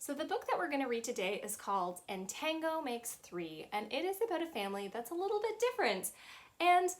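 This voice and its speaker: A woman's voice: sits high, very animated, brisk, a little hiss or hum. A woman in her thirties leading a children's storytime in a small room, talking in a sing-song, teacherly way and singing simple songs with hand gestures. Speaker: children's librarian. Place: small playroom with shelves, indoors.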